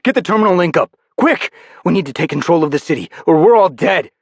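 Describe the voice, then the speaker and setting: A man's voice: on the high side, lively intonation, moderate pace, creaky, no echo, clean studio sound, pitched above the performer's own voice, heavily acted. A man voicing an urgent young hero. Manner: urgent shouting.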